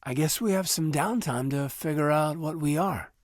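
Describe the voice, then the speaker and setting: A man voicing an earnest, loyal military officer in a sci-fi video game, sincere and restrained, with a husky voice. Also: on the high side, normal speed, no echo, studio quality, close to an everyday voice. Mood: teasing, fond. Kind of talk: naturalistic dramatic game dialogue.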